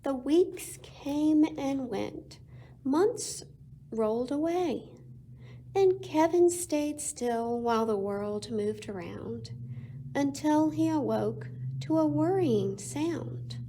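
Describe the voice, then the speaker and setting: A woman reading a picture book aloud to children as a storytime reader, with expressive delivery and dramatic pauses. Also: high voice, noisy background.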